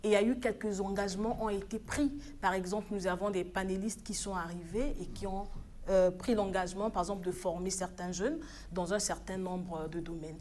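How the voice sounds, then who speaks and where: A woman's voice: mid-range voice, steady tone, fairly quick, a little mellow, slight background noise. Earnest Congolese woman on a TV news set. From Republic of the Congo. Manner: earnest, exhorting, with hesitant restarts. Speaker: studio guest, African Union official. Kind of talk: TV news studio interview. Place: TV studio.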